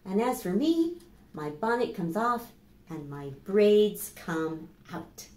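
Animated American woman leading children's storytime. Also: mid-range voice. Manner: animated, slow and deliberate for young children. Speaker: children's librarian hosting storytime. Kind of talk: children's storytime narration and instruction. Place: small plain indoor room. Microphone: camera microphone a few steps away. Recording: roomy echo, voice far from mic.

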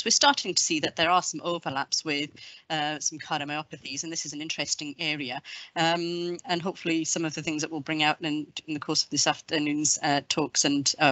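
Female chair, crisp bright tone, dry sound, lots of ums. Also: deep voice, dry recording. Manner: bright, hesitant with fillers. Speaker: session chair. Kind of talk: introducing a speaker online.

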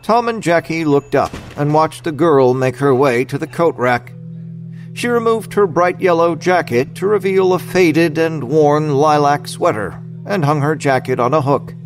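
A man's voice: fairly high pitch, a little slow, faint music behind. A man reading scripted dramatic narration for an audio story.

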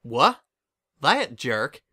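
A man's voice: medium pitch, sing-song, very slow, pushed and bright, heavily acted. A plainspoken farm mare acted by a man from a storybook. She talks bluntly, with folksy, clipped words and a no-nonsense temper. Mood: indignant. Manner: blunt, folksy drawl in wording. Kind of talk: storybook character dialogue.

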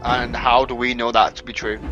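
A man's voice: medium pitch, flat delivery, brisk. A young man, casually improvising his part in a video game roleplay. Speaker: gaming roleplayer. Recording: lo-fi game mic, music behind.

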